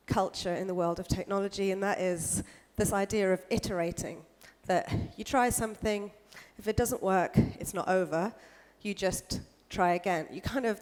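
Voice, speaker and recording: A woman's voice: medium pitch, darkish tone. A woman from the UK giving a casual conference talk, with lots of "kind of" and "like" fillers. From United Kingdom. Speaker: speaker. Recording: echoey hall.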